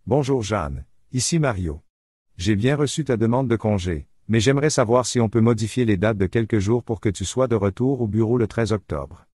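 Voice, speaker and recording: Courteous office boss played by a man, low and husky, scripted voicemail. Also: animated, normal speed, a little mellow, no echo, studio quality. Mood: courteous, businesslike. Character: courteous male boss leaving a work message.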